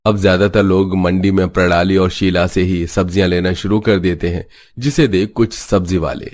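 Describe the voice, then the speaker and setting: A man's voice: deep voice, steady tone, normal speed, darkish tone, soft background music. A man reading scripted narration for a cartoon story.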